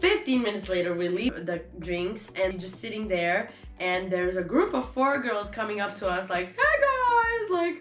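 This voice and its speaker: Young female guest, native Croatian speaker, jokey casual storyteller, mid-pitched, roomy sound with music behind. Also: sing-song, a little fast, hardly any pauses, fairly bright tone. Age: young adult.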